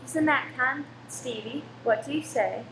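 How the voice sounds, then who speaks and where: A woman acting a sensible, kindly elder sister in a radio play, gentle and polite. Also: high voice, measured pace, creaky. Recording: echoey room with faint noise.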